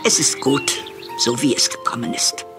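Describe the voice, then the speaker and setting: An actress playing a prim elderly farm woman in an audio drama, dry and measured, with sentiment underneath. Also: rumbling low, over music. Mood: reflective. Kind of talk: German audio drama acting.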